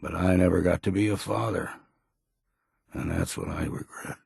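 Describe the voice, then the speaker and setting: An actor playing a gruff, weary old hunter in a video game, blunt and proud, with a gravelly, husky voice and a folksy, rustic drawl. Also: male voice, low voice, normal speed, darkish tone, no room sound, studio quality, full character voice. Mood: heartfelt, regretful. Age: older. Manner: folksy, plain-spoken drawl with rustic grammar. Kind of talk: video game character dialogue.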